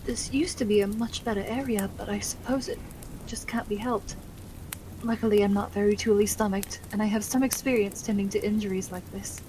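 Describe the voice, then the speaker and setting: A woman's voice: mid-range voice, steady tone, fairly quick, warm tone, lightly acted, rough recording. A woman playing a genteel, caring wife who tends the wounded in an ASMR roleplay, with tender, old-fashioned politeness. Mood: tender, affectionate. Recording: faint noise, rain behind the voice. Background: rain.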